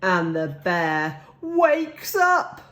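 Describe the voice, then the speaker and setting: A woman reading a children's picture book aloud with deliberate, dramatic phrasing. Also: fairly low pitch, very animated, fairly bright tone. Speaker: storybook reader.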